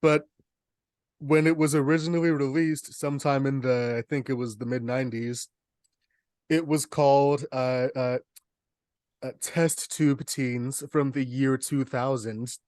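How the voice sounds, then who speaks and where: A man's voice: mid-range voice, fairly even intonation, measured pace, a little mellow, dry recording, clean studio sound. A young man co-hosting a podcast, telling stories in casual, humorous chat. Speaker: podcast co-host.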